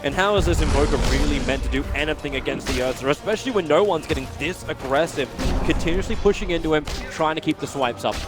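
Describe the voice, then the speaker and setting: Australian male play-by-play caster, higher voice, ramps up fast in fights, music bed behind. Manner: builds to excited shouting in fights. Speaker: esports caster. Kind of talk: play-by-play game commentary.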